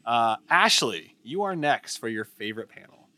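A man co-hosting a casual podcast, animated and laughing often as he leads each segment. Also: mid-range voice, normal speed. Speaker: podcast co-host.

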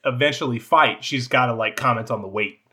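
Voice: medium pitch, fairly quick, a loud crowd. A podcast co-host in casual talk about a book, making wry, joking asides.

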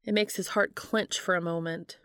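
Female story reader, slightly low register, audiobook-style narration of fiction, dry and close recording. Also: fairly even intonation, normal speed, a bit bright, smooth, no room sound.

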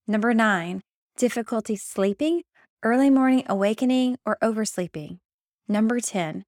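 A woman hosting a podcast, reading a prepared intro in a clear, measured way. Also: medium pitch, no echo, studio quality. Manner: clear, measured reading, laughs easily in chat. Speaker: podcast host. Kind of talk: scripted intro then casual interview chat.

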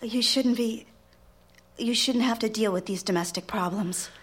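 An actress playing an eager, apologetic young secretary and secret mistress in a live radio drama, confiding and deferential. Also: female voice, on the high side, normal speed, a bit bright, slightly rough. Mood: tender, apologetic. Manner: deferential, hesitant in dialogue, confiding in narration. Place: live on stage in a theater.

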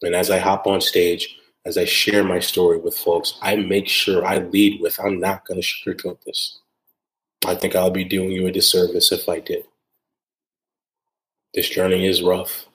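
A man speaks in a deep, level voice with little rise and fall, hosting his own podcast. He talks earnestly, circling back over his phrases and tagging them with "right?". The room around him sounds echoey. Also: monotone, normal speed, a bit bright. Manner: earnest, repeats phrases for emphasis; frequent tag "right?". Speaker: podcast host. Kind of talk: solo podcast monologue.

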